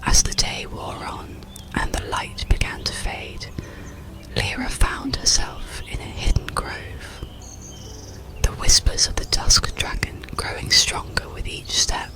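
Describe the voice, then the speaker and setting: A storyteller narrating an ASMR bedtime story in a soothing, deliberate whisper. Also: mid-range voice, vocal fry. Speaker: audiobook storyteller.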